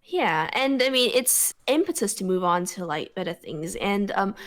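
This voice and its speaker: A woman chatting on a podcast with a lively pitch that moves up and down a lot. She pauses and restarts often, fills gaps with "like" and "um," and asks eager questions. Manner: hesitant, many fillers and restarts, curious questions. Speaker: podcast co-host. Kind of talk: casual chat.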